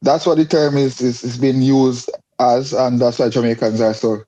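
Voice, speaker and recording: A man's voice: medium pitch, flat delivery, moderate pace, dark tone, highs cut off. A man with a Caribbean accent talking casually and emphatically, often repeating his phrases as he explains. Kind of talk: casual discussion.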